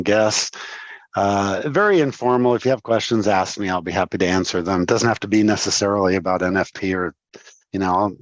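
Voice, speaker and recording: A man's voice: lowish voice, no room sound, dull audio. A man in his sixties with a cold, explaining his trading setup in casual live-stream commentary, with lots of fillers and restarts. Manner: rambling, filler-heavy explaining. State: congested from a cold. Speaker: trading educator on a livestream.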